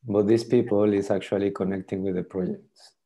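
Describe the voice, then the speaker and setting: Middle-aged French-native man on a video call. Lowish, mellow voice, halting delivery with repeated words. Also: fairly even intonation. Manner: hesitant, repeats words while thinking. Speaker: tool demonstrator. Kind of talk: casual video call demo.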